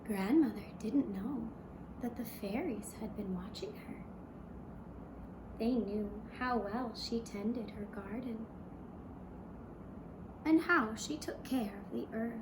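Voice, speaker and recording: A woman with a fairly high voice narrates a children's fairy tale at a very slow pace. She is gentle and measured, pausing between short phrases. The sound is roomy and echoing. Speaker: puppet show storyteller. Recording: roomy echo, clear background noise.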